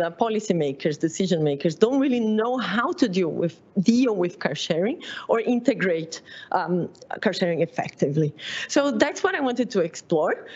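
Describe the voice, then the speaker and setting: A woman with a Brazilian Portuguese accent presenting her PhD research at a seminar, often pausing on "um", correcting herself and laughing at herself. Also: mid-range voice, darkish tone, slightly rough, dull audio. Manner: frequent "um" fillers, self-correcting, laughs at herself.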